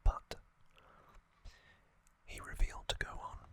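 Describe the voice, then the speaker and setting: A host whispers an ASMR recap slowly and softly, with every word voiceless and breathy. The whisper has a bright, crisp edge. The delivery stays relaxed and conversational, with a few fillers. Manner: soft unvoiced whisper with casual fillers and asides.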